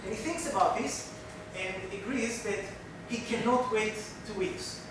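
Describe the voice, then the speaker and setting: A man's voice: sits high, a little slow. A man from Israel with an Israeli accent, giving a workshop lecture in English, speaking carefully and restarting phrases often. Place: large lecture room. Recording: very echoey room, distant mic, noisy.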